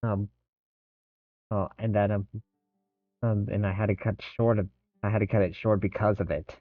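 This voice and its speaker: A man's voice: lowish voice, flat delivery. A man talking casually and hesitantly as a game streamer on a livestream. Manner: halting, hesitant. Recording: dull, roomy lo-fi stream audio. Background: faint game music.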